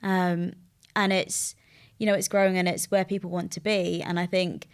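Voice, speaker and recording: A woman's voice: on the low side, level tone, moderate pace, clean tone. A young woman from the UK talking casually as a podcast guest, chatty and full of "like" and "you know". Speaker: recruitment firm co-founder, podcast guest. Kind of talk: casual interview chat.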